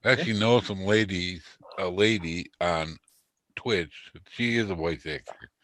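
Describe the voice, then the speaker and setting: An easygoing man in his sixties with a somewhat low voice. He sounds relaxed and agreeable, recorded dry and close. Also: unhurried, no echo. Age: 60s. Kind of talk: casual group chat.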